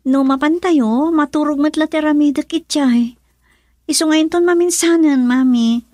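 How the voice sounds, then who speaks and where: Tired, high-voiced young student played by a woman. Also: moderate pace, darkish tone, no echo, clean studio sound, soft background music, lightly acted. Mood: weary, plaintive. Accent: Filipino. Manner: plaintive, tired. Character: weary female student talking with her mother. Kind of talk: radio drama acting.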